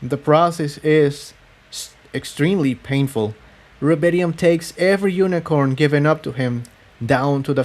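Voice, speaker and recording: A man voicing a grave, weary female pony defector in an audiobook story, speaking in measured, regretful explanations. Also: fairly high pitch, darkish tone, close to an everyday voice.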